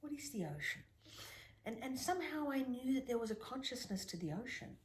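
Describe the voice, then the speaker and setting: A middle-aged woman whose mother tongue is Greek, telling reflective stories in an interview with emphatic rises and soft whispered asides. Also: sits high, very animated, fairly quick, slight background noise. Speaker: guest, spiritual healer.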